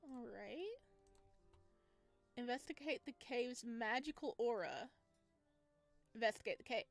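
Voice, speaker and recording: A woman's voice: on the high side, measured pace, creaky, soft background music. A woman giving casual, exclamatory playthrough commentary on a game, thinking aloud as she goes. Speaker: game streamer playing a visual novel.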